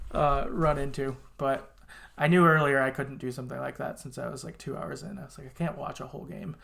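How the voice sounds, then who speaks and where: A man with noticeable vocal fry hosts a casual podcast. He talks informally, as a supportive listener would, with some mild swearing. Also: on the high side, fairly bright tone. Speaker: podcast host.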